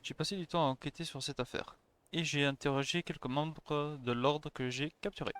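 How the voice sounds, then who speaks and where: A man's voice: on the high side, steady tone, a little fast, a bit bright, a little hiss or hum. A French-speaking man livestreaming a game, reading dialogue aloud and commenting casually. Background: faint game music.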